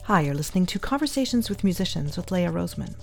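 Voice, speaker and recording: Low, gravelly woman podcast host. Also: big pitch swings, quick pace, faint music behind. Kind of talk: scripted intro and interview questions.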